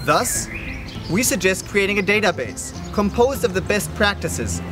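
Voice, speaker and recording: A man's voice: sits high, expressive, unhurried, music in the background. A young man, a business student, reading scripted narration for a presentation. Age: young adult.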